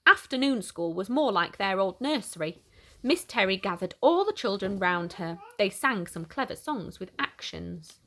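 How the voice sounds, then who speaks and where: A woman reading a children's picture book aloud in an animated, expressive way for young listeners. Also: mid-range voice, moderate pace. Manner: animated, simple questioning aimed at small children. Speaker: children's story reader.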